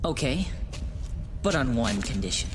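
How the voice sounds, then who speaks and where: A man's voice: medium pitch, sing-song, measured pace, noisy background. A man playing a cocky young devil hunter in a video game cutscene, flippant, impatient and sarcastic. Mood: exasperated. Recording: loud music over the dialogue.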